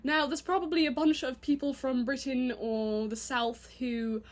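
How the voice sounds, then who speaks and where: Young Canadian woman, high-pitched, casual self-mocking vlog ramble to camera. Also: steady tone. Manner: chatty and self-mocking, quick giggly asides. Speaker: vlogger.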